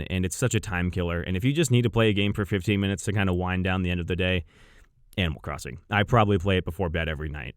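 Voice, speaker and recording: A man's voice: lowish voice, no room sound, clean studio sound. An American man hosting a game review channel, chatting casually and unscripted to viewers with gushing enthusiasm.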